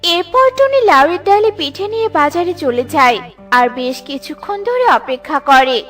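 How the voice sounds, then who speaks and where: Woman narrator for kids cartoon tale, high voice, music playing behind. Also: sing-song, moderate pace, fairly bright tone. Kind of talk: scripted children's story narration.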